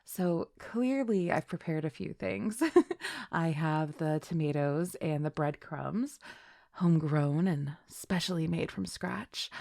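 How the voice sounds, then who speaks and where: A woman's voice: low voice, creaky, dry recording, clean studio sound, heavily acted. A woman playing a chill, teasing young best friend in a casual, intimate roleplay audio, chatting playfully with little hesitations. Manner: laid-back, playful, giggly, hesitant little fillers.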